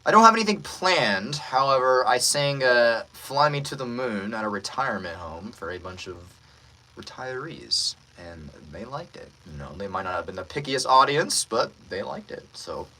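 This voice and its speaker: A man's voice: mid-range voice, very animated, normal speed, bright, forceful tone, rough recording. A man who works as a voice actor, hosting a casual livestream and politely answering viewer comments with self-deprecating asides.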